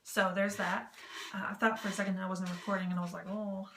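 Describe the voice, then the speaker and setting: A woman's voice: mid-range voice, flat delivery, fast talker, a bit bright. A woman with a mid-range voice hosts a casual explainer vlog. She rambles informally, with jokey, self-deprecating asides. The recording is echoey, with noise behind her. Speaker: vlog host giving tips on written role-playing. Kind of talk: casual, chatty explainer to camera. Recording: roomy echo with clear background noise.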